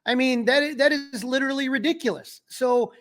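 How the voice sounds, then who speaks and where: A man with a notably high-pitched voice talks sports as a podcast co-host. His delivery is casual and conversational, and he states his opinions with emphasis. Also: brisk, fairly bright tone. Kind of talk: casual sports talk and analysis.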